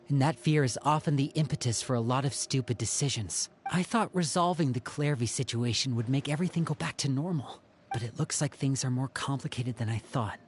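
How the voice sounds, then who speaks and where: A man playing a composed young brother and protective leader in a video game, speaking in a measured, serious way. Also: mid-range voice, slight background noise, lightly acted.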